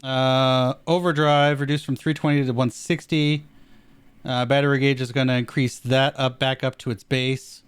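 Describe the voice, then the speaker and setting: A man with a smooth, resonant voice, recorded close and dry. He co-hosts a casual gaming chat, reading notes aloud and dropping quick easygoing reactions. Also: mid-range voice, level tone, no room sound. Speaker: podcast co-host.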